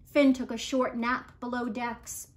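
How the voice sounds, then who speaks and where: A woman in her thirties reading a picture book aloud to children in an expressive, deliberate storytelling style. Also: fairly high pitch. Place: living room at home, indoors.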